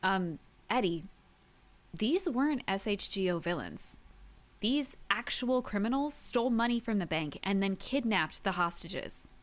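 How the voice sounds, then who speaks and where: Actress as brisk caring heroine, mid-pitched, dry matter-of-fact delivery, audio drama. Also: female voice, lively intonation, lightly acted. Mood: blunt concern. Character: brisk, no-nonsense heroine, bossy but caring.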